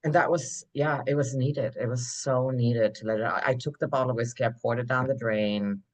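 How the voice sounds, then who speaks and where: A woman, a podcast guest telling her story in an animated, emphatic way, full of "like" fillers. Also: a bass voice, a little fast. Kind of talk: conversational interview storytelling.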